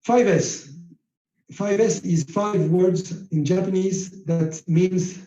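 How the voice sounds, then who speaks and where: A man whose first language is French gives a slide lecture on manufacturing, speaking haltingly with many fillers. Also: sits high, dark tone, reverberant, highs cut off.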